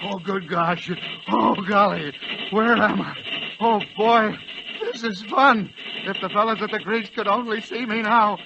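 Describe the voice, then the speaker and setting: A man playing a timid, excitable, polite fellow who is flustered and stammers, in 1940s radio acting style. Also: high voice, measured pace, gravelly. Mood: giddy delight. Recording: dull, noisy vintage radio recording.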